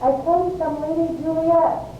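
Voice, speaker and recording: A woman's voice: takes it slowly, phone-quality sound. Woman acting earnest nurse, very echoey, noisy room. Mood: earnest, urgent. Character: female nurse and go-between, earnest servant. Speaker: amateur actor, English teacher. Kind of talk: Shakespeare stage-style verse reading. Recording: echoey room, distant mic, noisy rumble.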